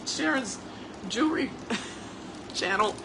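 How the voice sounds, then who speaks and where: A hoarse woman chats easily and warmly, talking to her audience as if they were her own relatives. Her delivery is loose and conversational, with homespun asides. The audio has a clear layer of background hiss. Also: a little high, lively intonation, slow. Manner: chatty, folksy, addresses listeners as family. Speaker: host. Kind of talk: casual livestream chat.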